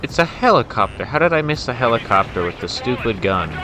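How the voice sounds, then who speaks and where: A man's voice: mid-range voice, big pitch swings, fairly quick, noisy background. A man doing casual, rambling gameplay commentary with informal, grumbling asides. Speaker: gamer commentating on own gameplay. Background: flight combat game sounds, explosions and music.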